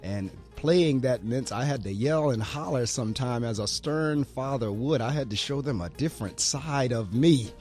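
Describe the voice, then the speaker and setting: Upbeat radio host, warm slightly froggy voice. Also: male voice, medium pitch, moderate pace, few pauses, soft background music. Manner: upbeat, talkative, steady reading pace. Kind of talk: morning radio show with weather read. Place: small college radio studio. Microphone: studio mic.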